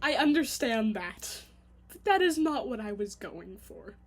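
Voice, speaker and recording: Young Canadian woman, high-pitched, casual self-mocking vlog ramble to camera. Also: very animated. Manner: chatty and self-mocking, quick giggly asides. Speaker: vlogger.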